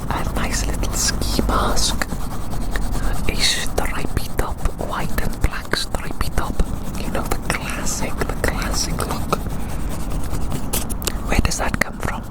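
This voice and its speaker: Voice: takes it slowly, poor audio. Whispering ASMR creator. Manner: repeats words and phrases soothingly; playful, addressing listener as a little creature. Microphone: close microphone.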